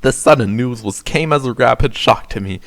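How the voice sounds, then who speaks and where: A man's voice: medium pitch, moderate pace. Man narrating with mock-serious drama. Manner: mock-serious, reads overwrought prose dramatically. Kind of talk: scripted narration, comic dramatic reading of fan fiction.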